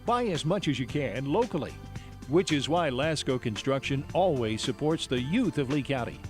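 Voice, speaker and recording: A man's voice: mid-range voice, animated, moderate pace, darkish tone. A man reading a scripted local sponsor spot as a commercial announcer. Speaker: radio ad announcer. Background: faint guitar music.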